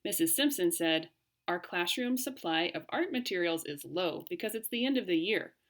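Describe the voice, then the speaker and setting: A woman reading a story aloud and talking to children as a teacher, with expressive, clearly phrased delivery. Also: lowish voice, moderate pace. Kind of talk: read-aloud storytelling and lesson talk for children.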